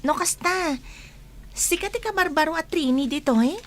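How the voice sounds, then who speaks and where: A high-pitched, sociable young woman, acted by an actress, welcomes people in a friendly, reassuring way. She is a trainee in a radio drama and has an Ilocano accent. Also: lively intonation, normal speed, fairly bright tone, slight background noise.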